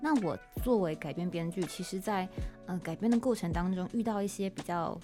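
A woman's voice: on the low side, a little fast, music in the background. A woman with a Taiwanese Mandarin accent hosting an interview, polite and attentive, phrasing her questions with care. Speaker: podcast host and scriptwriter. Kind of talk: conversational interviewing.

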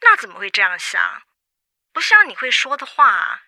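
A brash young best friend in a radio drama. Her voice is high, very sharp and swoops animatedly, with blunt, exclamatory Taiwanese Mandarin. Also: big pitch swings, normal speed, no room sound, studio quality, heavily acted. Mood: worried.

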